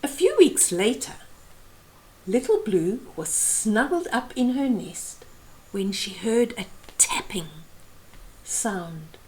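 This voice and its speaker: Slow, expressive woman reading to children. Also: fairly high pitch, rough recording. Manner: expressive, deliberate phrasing for young listeners. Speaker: storyteller host. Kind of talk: children's picture book read-aloud. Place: small room at home, indoors.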